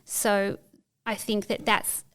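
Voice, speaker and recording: Middle-aged woman, interview guest, very clean smooth voice, fairly flat delivery, thoughtful with restarts. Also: medium pitch, slow pace. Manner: thoughtful, searching for words with frequent restarts. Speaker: podcast guest. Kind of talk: reflective interview conversation.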